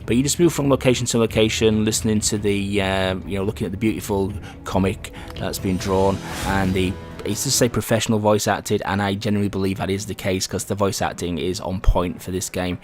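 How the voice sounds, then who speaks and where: A man presents a game review in a slightly deep voice. His delivery is casual and conversational, rambling a little as he comments. Soft music runs behind him. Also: fairly even intonation, a little fast, hardly any pauses.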